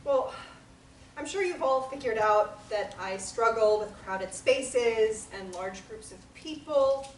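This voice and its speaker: A woman's voice: on the high side, expressive, slow pace, a little hiss or hum. A woman reading a hesitant, anxious young woman. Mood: uneasy. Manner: hesitant, rambling, self-conscious. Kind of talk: table reading of a stage play. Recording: echoey room from a distant mic.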